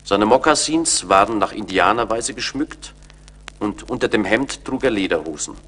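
A man narrating a radio play in a measured, deliberate storytelling manner with dramatic weight. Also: on the low side, expressive, a bit bright, breathy edge.

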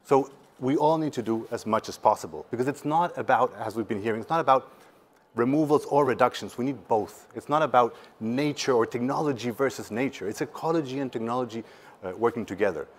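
A man's voice: medium pitch, fairly quick. A man around forty, speaking earnestly and emphatically in a conversational conference talk. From Patagonia, Chile. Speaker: startup representative, panel speaker. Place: seated on a conference stage indoors.

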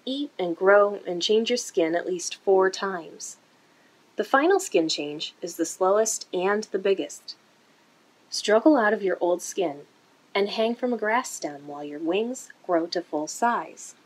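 A woman reading a children's picture book aloud in an expressive, deliberate way for young listeners, recorded indoors. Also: mid-range voice, breathy edge, rough recording. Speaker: children's story-time reader for a museum. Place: indoor room.

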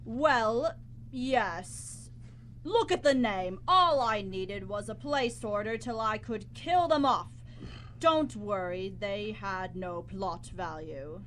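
A woman's voice: mid-range voice, animated, slow pace, fairly bright tone, slight background noise. A young woman playing a bossy, smug writer in a live student radio comedy, with a theatrical, pompous delivery. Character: bossy, smug, scheming writer turning desperate. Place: college radio studio, live broadcast.

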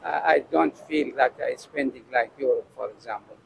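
An older man from Arabia, answering a street interview in a slow, halting way on a city street into a handheld mic. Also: medium pitch, gravelly, noisy background. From Saudi Arabia. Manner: slow and halting, searching for words. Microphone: handheld mic held toward him.

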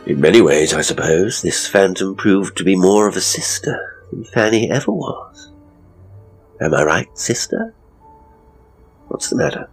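A middle-aged British ghost tour guide acted by a man in a horror audio drama. His voice is low and rough-edged, and he holds forth in a pompous, grumbling, theatrical way over faint music. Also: lively intonation, a little slow, long pauses, exaggerated voice, low-quality recording. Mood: eerie storytelling.

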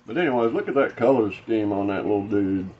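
A man's voice: on the low side, expressive, fairly quick, muffled recording. A man in his thirties from Arkansas with a Southern accent, hosting a casual fishing-lure unboxing vlog. He talks in a rambling way, with many "uh" fillers and restarts, from a small tackle-filled room. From Arkansas, USA. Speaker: fishing channel host.

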